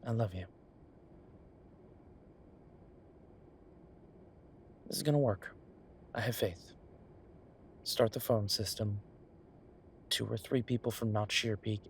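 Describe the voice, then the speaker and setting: A man playing an affectionate, teasing chef boyfriend in an intimate roleplay audio, talking in a conversational, reassuring way with a husky voice. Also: fairly low pitch, a little hiss or hum, close to an everyday voice. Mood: tender reassurance, then reassuring, practical. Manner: conversational, reassuring, playfully bantering. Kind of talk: intimate one-sided boyfriend roleplay acting.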